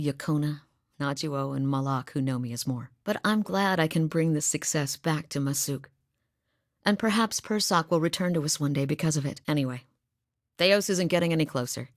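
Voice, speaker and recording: A woman's voice: low-pitched, no echo, close to an everyday voice. Woman voicing a dry-humored northern huntress. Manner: dry humor, matter-of-fact. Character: steady, blunt woman hunter from a far northern village. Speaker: game character voice lines. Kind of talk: studio game voice acting. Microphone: close studio mic. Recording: dry studio booth.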